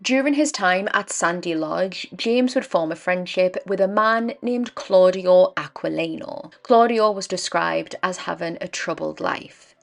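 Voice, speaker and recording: A young woman hosting a true crime podcast, her voice croaky and dry from a cold, telling the case in an earnest, measured way. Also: lowish voice. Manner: earnest, measured storytelling with emphatic stresses. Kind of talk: scripted true crime narration.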